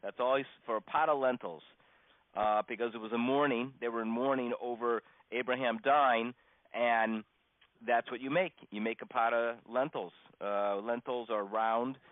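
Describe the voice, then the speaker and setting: Halting male rabbi on a dull line. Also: medium pitch, steady tone, no echo. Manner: halting, stammering restarts and frequent pauses. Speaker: rabbi teaching a class. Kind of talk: informal religious lesson, unscripted storytelling. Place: teaching over a conference phone call. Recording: dull, dry conference call line.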